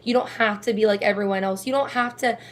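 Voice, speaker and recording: A young woman singer with a low, raspy voice, chatting casually and laughing often as an interview guest. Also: steady tone, fast talker. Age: young adult.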